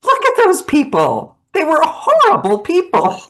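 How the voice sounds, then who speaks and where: A woman's voice: very high voice, huge pitch swings, unhurried. A woman hosting an interview, emphatic, supportive and playful with her guest. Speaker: podcast host. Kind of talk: casual interview chat.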